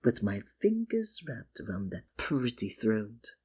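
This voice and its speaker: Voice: medium pitch, big pitch swings, a little slow, a little mellow, breathy edge, full character voice. A performer voicing a sinister, obsessive male stage magician in an ASMR roleplay, sweet-talking and possessive, with a theatrical, coaxing menace. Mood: menacing, stern.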